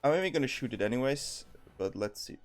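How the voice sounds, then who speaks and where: A man's voice: mid-range voice, lively intonation, a little fast, faint music behind. Swiss-accented man thinking aloud over play. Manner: thinking aloud, many ums and self-corrections. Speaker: card game streamer. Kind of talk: casual gameplay commentary.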